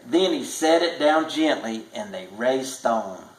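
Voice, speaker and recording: A man reading a tall tale aloud as a storybook narrator, measured and with stretched emphasis. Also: medium pitch, expressive, slight background noise. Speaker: storybook reader. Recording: echoey room.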